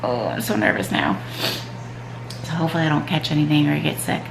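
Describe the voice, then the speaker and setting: A woman's voice: sits low, expressive, a little fast, roomy sound, noisy background. A stuffed-up woman vlogging casually at home in the morning, rambling with lots of "um" and "like". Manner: rambling, frequent "um" and "like". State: stuffed-up nose. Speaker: vlogger, mom and triathlete. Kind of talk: casual chatty vlog. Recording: clear background music under voice.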